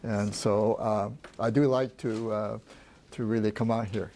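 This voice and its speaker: A soft-spoken man presenting at a business workshop, talking in a halting way with many restarts. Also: on the low side, steady tone, a little hiss or hum. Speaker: port trade representative, presenter.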